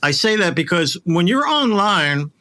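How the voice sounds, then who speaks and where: A man's voice: sits high, very animated, unhurried, pushed and bright. A man talking casually and emphatically about his industry, as a guest in conversation. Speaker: interview guest, voice casting professional.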